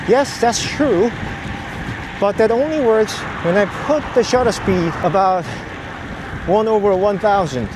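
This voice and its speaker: A man explaining things in short, halting phrases, vlogging outdoors while out riding on the road. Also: sits high. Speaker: vlogger, cyclist testing camera gear. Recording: clear outdoor road and traffic noise. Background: ambience and vehicle noise.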